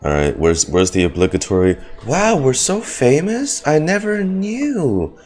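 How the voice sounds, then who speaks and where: A man's voice: a little high, wildly swooping pitch, unhurried, darkish tone. A man giving casual, sarcastic and sweary reaction commentary as he streams a video game. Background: game music.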